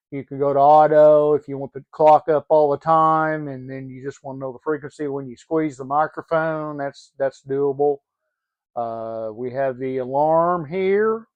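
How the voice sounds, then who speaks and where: A man presenting an informal product demonstration in a halting, matter-of-fact way. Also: a little high, clear, resonant tone, lo-fi sound. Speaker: presenter showing a used CB radio.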